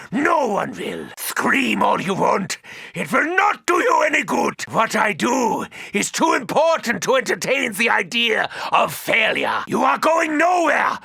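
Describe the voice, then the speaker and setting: A menacing mad doctor from a video game, speaking with a German accent in grand, formal phrases. The voice is bright and forceful, often pushed toward a shout, with a gravelly edge. He is arrogant and theatrical throughout. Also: high voice, heavily acted. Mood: defiant, scornful. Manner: grandiose, formal, precisely worded. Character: arrogant, menacing male mad doctor battling undead hordes.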